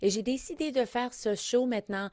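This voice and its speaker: A woman's voice: a little high, moderate pace, darkish tone, dry recording. A woman, a native English speaker, hosting a podcast from a studio and chatting casually with frequent fillers and slips into English. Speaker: podcast host. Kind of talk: casual podcast intro and interview.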